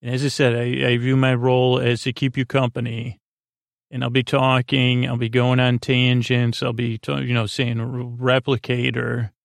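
A man's voice: medium pitch, monotone, moderate pace, vocal fry, no room sound. A man hosting a sleep podcast in a meandering, goofy, friendly monologue, stammering and drifting off into tangents. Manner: hesitant, stammering, trailing off into tangents. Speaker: podcast host.

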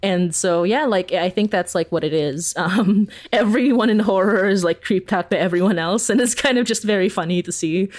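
A woman's voice: mid-range voice, normal speed, hardly any pauses. A woman from the Philippines chatting casually as an interview guest, telling stories in a giggly way peppered with "like". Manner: animated storyteller, uses "like" constantly, giggly. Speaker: podcast creator, interview guest.

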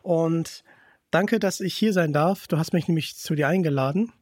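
A man's voice: fairly high pitch, moderate pace, darkish tone, no echo, clean studio sound. A man hosting a podcast, chatting casually as he interviews a guest. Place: kitchen.

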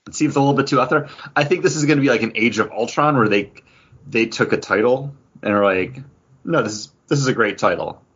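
A man's voice: medium pitch, fairly quick, a bit bright, husky, lo-fi sound. A man co-hosting a podcast, chatting casually about comic books in a rambling, conversational way. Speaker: podcast co-host. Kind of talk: casual comic book review chat.

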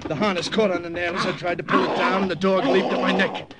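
A man's voice: high voice, flat delivery, fast talker, very noisy, natural voice. Tough American agent in an old radio drama, played by a man. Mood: urgent, dramatic. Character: tough American secret agent narrating his wartime mission. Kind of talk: 1950s radio drama acting. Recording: old radio broadcast, music underneath.

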